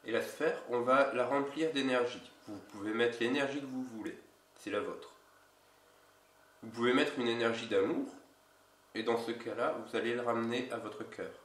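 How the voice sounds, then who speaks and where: A man with a cold, an energy healer giving a casual instructional video in a slow, halting way. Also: medium pitch, fairly even intonation, a bit bright, recorded from a distance. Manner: slow, halting, with many pauses. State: has a cold. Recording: roomy echo, away from mic.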